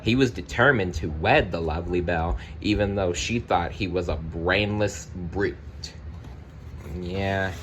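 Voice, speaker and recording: A man reading a children's story aloud, with expressive, deliberate narration and the odd personal aside. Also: deep voice, slight background noise. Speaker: storybook reader.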